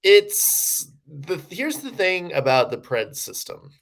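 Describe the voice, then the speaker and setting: A man's voice: a little high, very animated, slow pace, creaky. A man co-hosting a sports podcast, rambling through his analysis with many restarts. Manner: rambling, lots of fillers and restarts. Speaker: podcast co-host. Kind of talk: casual sports analysis chat.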